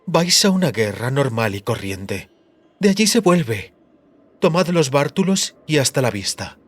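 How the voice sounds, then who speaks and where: A man voicing a stern, sarcastic high priestess who speaks brusquely and with authority. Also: big pitch swings, measured pace, husky, dry recording. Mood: brusque, exasperated.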